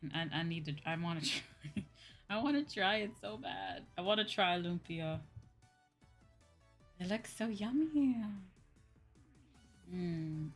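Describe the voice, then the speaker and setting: Slow-paced woman chatting on a livestream. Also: lowish voice, animated, soft background music. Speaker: livestream host. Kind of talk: casual livestream chat with viewers.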